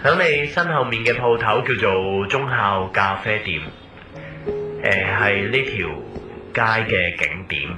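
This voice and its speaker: A man's voice: lowish voice, slow pace. A man with a Hong Kong Cantonese accent playing a wistful former lover who leaves a recorded voice message, casual and nostalgic, guiding the listener along like a tour guide. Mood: fond reminiscing. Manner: casual, nostalgic, tour-guide patter mixed with English words. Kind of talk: audio drama monologue as voice message. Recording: roomy, slightly distant, music behind.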